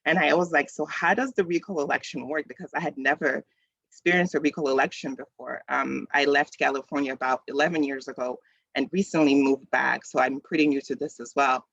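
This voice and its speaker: A man from the Congo, in his thirties, talks through a community session over a video call, with a gravelly edge to his voice. He speaks casually and conversationally, with frequent ums and false starts. The call audio sounds dry and a bit dull. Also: medium pitch, fairly quick, a bit bright, no room sound. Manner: conversational, many ums and restarts. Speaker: community event host.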